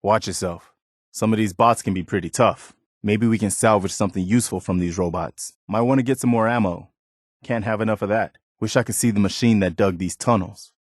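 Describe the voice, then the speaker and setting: A man's voice: fairly low pitch, fairly quick, no room sound, studio quality, lightly acted. An American man playing an earnest, principled militia soldier, a loyal and plain-spoken companion in a post-apocalyptic video game. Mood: serious, thoughtful.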